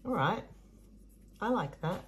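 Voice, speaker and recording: A woman hosting a casual craft tutorial with a hoarse, croaky voice, chatting and muttering asides as she works at a table. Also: medium pitch, expressive, slow, a little hiss or hum. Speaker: craft video host. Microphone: overhead camera above a table, hands only.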